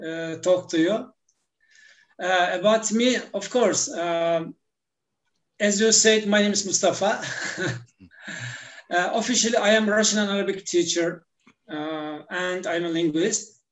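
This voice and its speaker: Guest, man, 40s; measured pace, halting with fillers; roomy echo on the voice. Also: high voice. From Turkey. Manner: hesitant, many fillers and laughs. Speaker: guest, language teacher. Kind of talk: casual interview talk.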